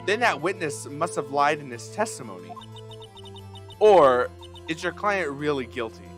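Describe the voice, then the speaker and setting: An insistent mentor attorney in a courtroom video game , a woman played by a man, coaching firmly. The delivery is lively in pitch, with clear music behind it. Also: measured pace. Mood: prodding. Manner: firm, instructive.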